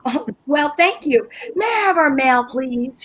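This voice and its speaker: Woman acting an anxious, high-voiced housewife. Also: animated, a little slow, fairly bright tone. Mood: impatient. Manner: impatient, insistent. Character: anxious, pushy, socially slighted housewife. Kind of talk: old time radio comedy acting.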